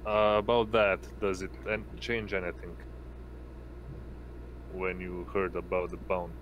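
A man talking casually and haltingly in an online tabletop roleplaying game session over a noisy mic. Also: little pitch movement, dull audio. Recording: noisy mic with rumbling background.

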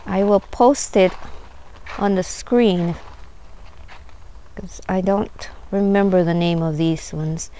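A woman gives an unhurried, admiring tour of her backyard garden, filming on a handheld phone outdoors. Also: medium pitch, a little mellow, clear, resonant tone. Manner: unhurried, admiring, many fillers. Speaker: gardener vlogger. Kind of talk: casual garden tour narration.

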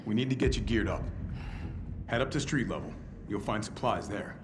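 An actor playing a practical, helpful soldier giving directions in a naturalistic video game cutscene. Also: male voice, on the low side, normal speed, lightly acted. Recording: loud music behind.

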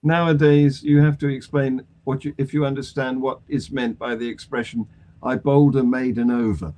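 A man's voice: mid-range voice, fairly even intonation, normal speed, dark tone. An older man with a British accent, talking in a wry, jokey way in a casual panel discussion over a video call. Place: room at home.

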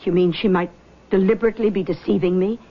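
An actress plays a controlling middle-aged mother with a taste for the occult, her voice husky and breathy. This is 1970s radio drama acting, carried on a muffled, lo-fi broadcast recording. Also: mid-range voice, steady tone, fast, close to an everyday voice. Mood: earnest. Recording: dull old radio broadcast.

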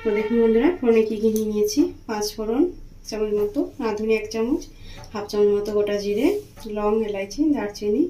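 A woman's voice: mid-range voice, fairly even intonation, quick pace. A woman hosting a home cooking channel, chatting to her viewers as friends while she cooks at the stove in her kitchen, talking them through each step. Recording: echoey tiled kitchen with loud frying. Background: sizzling and frying from the pan.

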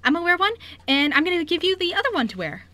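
A woman voicing an eager, chatty, sweet seven-year-old girl in an amateur radio skit, gushing and excitable. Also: very high-pitched, racing, voice pushed up, full character voice. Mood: excited.

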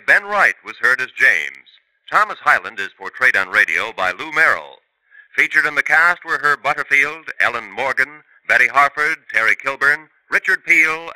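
A man's voice: mid-range voice, bright, forceful tone, no echo, poor audio. A man announcing the credits and promotions of a 1950s radio show in a polished delivery.

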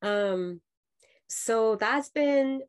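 A woman's voice: fairly high pitch, extremely slow. A woman in her thirties from Mexico, speaking as a nurse-midwife guest on a video call. She talks in an earnest, reflective way, with many fillers and restarts. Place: home room with dark sofa. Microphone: laptop video call.

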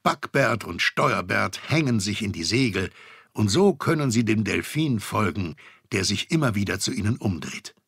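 A man's voice: fairly low pitch, big pitch swings, normal speed, creaky, fairly bright tone, slightly rough, no room sound, studio quality. A man narrating a children's audio drama as a scripted storyteller.

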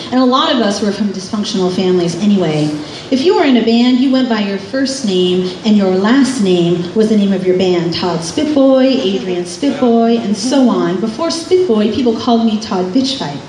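A woman's voice: mid-range voice, darkish tone. A middle-aged American woman reading a scripted memoir aloud live on stage in a club. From California, United States. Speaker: author and musician reading from own memoir. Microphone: stand microphone through PA, recorded by distant camera. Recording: roomy, distant camera pickup, faint noise. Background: faint room noise and ambience.

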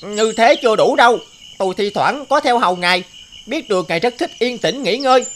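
A woman's voice: a little high, lively intonation, brisk, a little hiss or hum, close to an everyday voice. A woman voicing grumbling, sly forest animals in a children's cartoon, over music. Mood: deferential, sly.

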